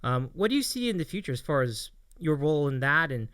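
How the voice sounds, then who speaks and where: A man hosts a casual streaming interview with a lively, swooping delivery and a slightly high voice. His tone is clean and smooth, and he speaks in an appreciative, encouraging manner. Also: quick pace, no echo, studio quality. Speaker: host of a streaming interview show. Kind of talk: casual livestream interview chat.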